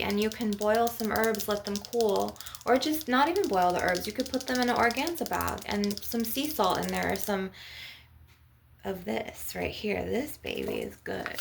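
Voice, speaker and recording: A woman's voice: mid-range voice, creaky, noisy background. A woman hosting a casual spiritual ASMR healing session, speaking informally and encouragingly straight to the listener. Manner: informal, encouraging, repeatedly addressing the listener directly. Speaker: ASMR energy healer channel host. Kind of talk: ASMR-style spiritual coaching, casual chat.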